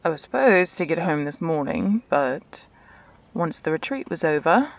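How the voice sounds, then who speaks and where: A woman playing a calm, wry, world-weary doctor and scientist in an audio drama, speaking in a dry, measured manner. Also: deep voice, slight background noise. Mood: dry, composed.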